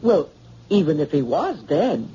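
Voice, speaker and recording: An actress playing a flustered hospital nurse in a 1950s radio drama, speaking hesitantly. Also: female voice, sits low, very animated, measured pace, mellow, breathy edge, exaggerated voice. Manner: hesitant, stammering. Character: young female hospital nurse, flustered and defensive. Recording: dull lo-fi old radio broadcast.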